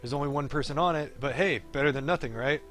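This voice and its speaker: A man's voice: fairly high pitch, fast talker, fairly bright tone, close to an everyday voice. A man voicing a wry, cocky young pro gamer from a visual novel, teasing and breezy. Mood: friendly, wry. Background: game music.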